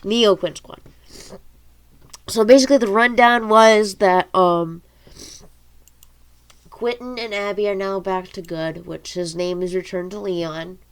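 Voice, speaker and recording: A woman's voice: medium pitch, steady tone, unhurried, pauses often, smooth. Congested woman reading her story aloud. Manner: informal, stumbling over words. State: congested nose from allergies. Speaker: amateur audiobook reader and author.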